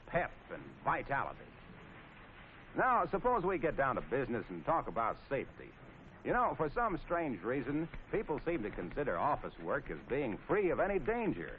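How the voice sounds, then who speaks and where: An American man narrating in 1940s training film style, wry, folksy and playfully sarcastic. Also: medium pitch. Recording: scratchy, tinny 1940s film soundtrack with noise.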